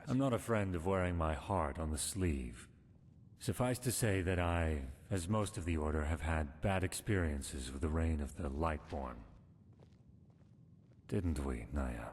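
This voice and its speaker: Very deep, sardonic, guarded desert rogue. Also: male voice, unhurried, darkish tone, big-room sound, a little hiss or hum. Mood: guarded, dry. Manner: smooth, sardonic, politely evasive. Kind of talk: video game character dialogue.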